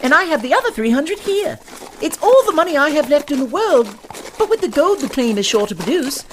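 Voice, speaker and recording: A man's voice: very high voice, sing-song, fairly quick, fairly bright tone, slight background noise, heavily acted. A man playing a naive, well-spoken dandy fish from New York in a comic western audio drama, with wordy, formal diction. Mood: eager, earnest.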